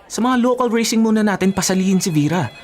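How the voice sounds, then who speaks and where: An actor with a Filipino accent playing a cautious, grumbling racing teammate who is easily talked round, in a radio drama. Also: male voice, high voice, expressive, fast. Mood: exasperated.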